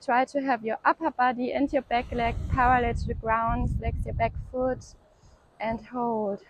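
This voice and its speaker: A woman leading a home yoga workout, calmly cueing breaths and poses and encouraging the listener to keep going. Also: a little high, normal speed, slight background noise, low-quality recording. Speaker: yoga instructor. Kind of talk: guided workout instruction.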